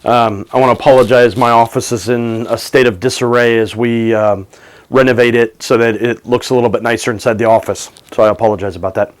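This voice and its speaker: A man with a slight cold speaks to camera in a casual video, his voice carrying a touch of gravel. He talks in halting stretches, filling pauses with ums and restarting sentences as he explains technology for business. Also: medium pitch, fairly even intonation. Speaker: tech commentator. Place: office under renovation.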